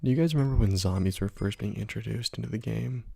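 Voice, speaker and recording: A man giving soft-spoken ASMR gaming commentary in a hushed, breathy, partly whispered voice, casual and musing, close to the microphone. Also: on the low side, fast. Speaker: gaming video host.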